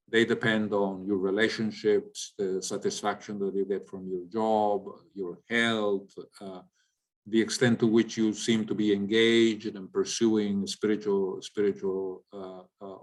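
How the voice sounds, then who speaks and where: A man from Latin America, an economist giving an informal explanatory lecture and often checking in with listeners. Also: lowish voice. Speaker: economist, guest speaker. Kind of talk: informal lecture with audience questions.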